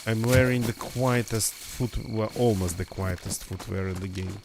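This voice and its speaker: A man's voice: on the low side, moderate pace, gravelly, very noisy. A man streaming a survival game with casual, rambling commentary, his voice a little nasal and congested from a recent cold. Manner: rambling, self-correcting.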